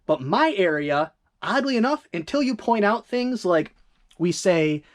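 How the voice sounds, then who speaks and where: A reseller from Northern Michigan with a Northern Michigan accent, chatting casually on a vlog in a rambling way, correcting themselves with quick asides. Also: mid-range voice, expressive. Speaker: eBay reseller vlogger.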